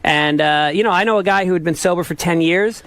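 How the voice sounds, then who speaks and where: A man's voice: high voice, fairly quick, a bit bright. A man, a guest on a radio show, giving candid opinions in a conversational way.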